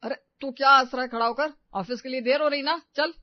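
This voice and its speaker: A woman's voice: high-pitched, steady tone, brisk, no room sound, lo-fi sound, natural voice. A woman voicing an exasperated young husband in a cartoon family comedy, scolding and complaining. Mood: irritated, scolding. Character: grumbling, exasperated young husband and elder brother.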